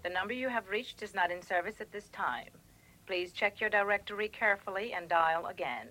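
An actress playing a courteous American telephone operator in a radio drama, with a formal, scripted manner. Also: female voice, medium pitch, fairly quick, music in the background, lightly acted, rough recording. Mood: impersonal. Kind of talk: 1980 radio drama acting.

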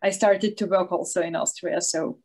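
A woman's voice: fairly low pitch, fairly even intonation, moderate pace, a little mellow. A young woman from Ukraine, politely reading out her interview questions.

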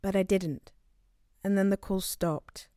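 An actress playing an earnest, searching sister in a naturalistic audio drama. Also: female voice, mid-range voice, steady tone, slow pace, dark tone, dry recording, clean studio sound, close to an everyday voice. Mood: wistful.

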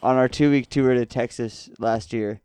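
A man with a loud voice that carries, telling stories with energy. His tone is smooth and resonant, and the recording is dry and close. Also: medium pitch, steady tone, normal speed, dry recording. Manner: loud, carrying voice, tells stories animatedly. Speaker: band member guest. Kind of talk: casual chat.

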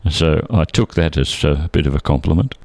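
Very deep-voiced older Australian man. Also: normal speed, vocal fry, darkish tone, no echo. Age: 70s. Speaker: interview guest, retired air traffic controller. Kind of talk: conversational reminiscence.

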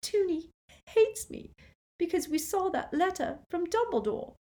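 A woman's voice: mid-range voice, lively intonation, unhurried, pitched above the performer's own voice, lightly acted. A woman voicing an earnest, tender-hearted but proud young girl in an audiobook, speaking with emotion. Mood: upset.

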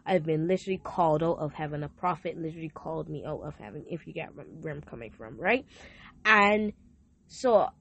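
A woman's voice: low voice, a little fast, vocal fry, fairly bright tone, slightly rough. Earnest young woman sharing a testimony. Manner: earnest, repeats herself, restarts often. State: hoarse from a sore throat. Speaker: podcast host. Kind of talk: personal testimony, casual faith talk.

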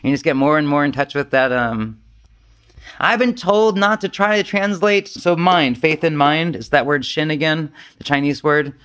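A man giving an informal, rambling Zen talk as a retreat teacher, conversational, animated and self-deprecating. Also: on the high side, moderate pace, clear, resonant tone.

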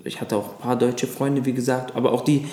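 A man in his thirties from Lebanon, whose first language is Arabic, recalling his childhood as an interview guest with frequent pauses and fillers. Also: medium pitch, flat delivery, dark tone. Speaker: guest, actor. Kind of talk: casual interview chat. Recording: echoey room.

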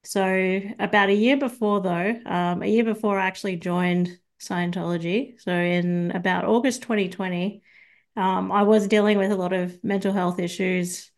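A young woman with an Australian accent, a guest on an interview podcast, speaking hesitantly with many ums and soft, trailing phrases. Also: mid-range voice, fairly even intonation, moderate pace. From Sydney, Australia. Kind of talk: casual interview chat.

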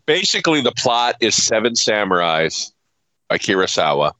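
A man's voice: fairly low pitch, big pitch swings, normal speed, bright, forceful tone, no echo, poor audio. A man co-hosting a podcast in casual chat, swearing freely and emphatically in exasperation as he rants through a movie review. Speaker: podcast co-host.

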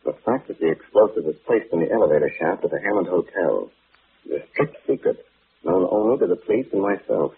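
An actor playing a grave, shrewd amateur detective with a measured, authoritative manner in an old-time radio drama. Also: male voice, sits low, animated, creaky, husky, phone-quality sound, exaggerated voice. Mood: stern. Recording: band-limited vintage radio recording, light noise.

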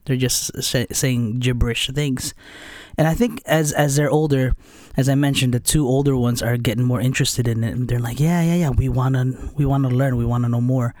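A man's voice: mid-range voice, steady tone, a little fast, a little mellow, no room sound. A man chatting casually as a guest, candid and rambling, poking fun at himself. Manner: candid, rambling, self-deprecating. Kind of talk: casual conversation.

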